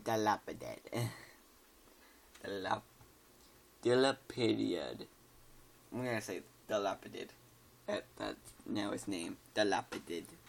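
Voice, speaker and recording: A man's voice: fairly low pitch, gravelly. A tired man casually reads a scary story aloud, stumbling over words and joking about them.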